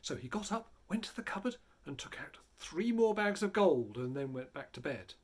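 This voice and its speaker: A man reading a story aloud in a theatrical, dramatic way, building and hushing for effect. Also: fairly high pitch, sing-song, moderate pace. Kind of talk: animated dramatic storybook narration.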